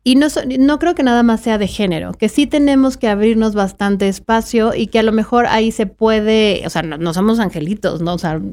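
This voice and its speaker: A woman's voice: fairly high pitch, a little fast, hardly any pauses, a little mellow. A woman hosting a podcast interview, speaking in a supportive, affirming way. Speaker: podcast host.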